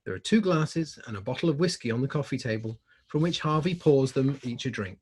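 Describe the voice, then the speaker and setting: A man's voice: mid-range voice, lively intonation, brisk, a little mellow, slightly rough. A man reading stage directions as scripted narration.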